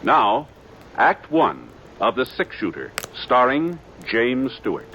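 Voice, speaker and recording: A man's voice: mid-range voice, huge pitch swings, noisy background. Dramatic vintage radio announcer, man. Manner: measured, deliberate, dramatic. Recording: dull old radio recording with loud music.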